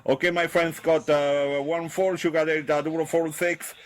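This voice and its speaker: A man with a French accent making a radio contact in halting, repetitive English as an amateur operator. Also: fairly high pitch, little pitch movement. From southern France. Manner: halting, apologetic non-native English with repetitions. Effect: radio transmission.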